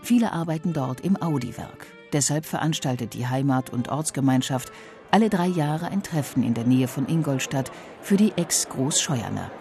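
Documentary narrator, mellow tone, measured reading, faint background music. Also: medium pitch, expressive. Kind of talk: scripted documentary voice-over.

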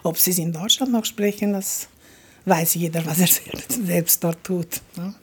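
Older woman, Transylvanian Saxon accent, retired teacher, husky, lowish voice, soft-spoken, thoughtful. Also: fairly even intonation, unhurried. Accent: Transylvanian Saxon German. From Transylvania, Romania. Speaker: retired mathematics teacher, interviewee. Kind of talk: interview.

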